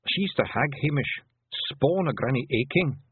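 Gruff, blunt Scottish pixie leader, pitched up, voiced by a man; dry narrow-band audio. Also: lively intonation, moderate pace, no echo. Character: gruff little male pixie chief.

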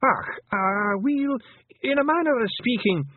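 A man voicing a small, frantic male pixie chief with a Scottish accent, shrill and gabbling. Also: lively intonation, measured pace, no room sound. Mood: panicky. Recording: tinny, phone-like lo-fi audio.

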